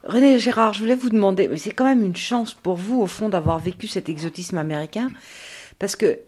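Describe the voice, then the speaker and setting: A woman's voice: medium pitch, fairly quick, darkish tone, breathy edge. A woman radio interviewer who prompts her guest with questions and brief interjections.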